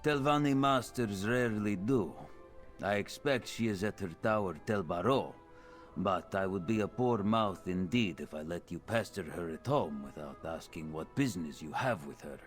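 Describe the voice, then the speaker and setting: Fantasy game tower official, deep male voice, dry, formal, wary, sardonic, soft music behind. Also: expressive, normal speed. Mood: dry, guarded. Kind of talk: scripted fantasy video game dialogue.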